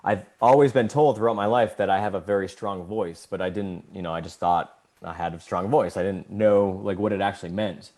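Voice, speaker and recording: A man co-hosting a podcast, talking earnestly in a casual spiritual chat, rambling a little and getting animated as he tells stories. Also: low voice, fairly quick, few pauses. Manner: earnest, rambling, gets animated when telling a story. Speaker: podcast co-host.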